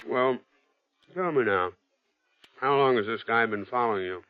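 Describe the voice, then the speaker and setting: A muffled, lo-fi radio drama recording carries a cocky male private eye played by an actor. He talks in slangy, flippant wisecracks at an ordinary male pitch, easygoing and flirtatious. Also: moderate pace, lightly acted. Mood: blunt, probing. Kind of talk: old-time radio detective drama acting.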